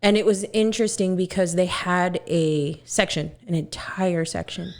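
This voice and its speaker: A woman's voice: fairly low pitch, fairly even intonation, moderate pace. Woman, twenties, from Texas, earnest, lots of fillers, podcast guest. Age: 20s. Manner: earnest, searching for words with many fillers. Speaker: guest, singer. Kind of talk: casual podcast conversation.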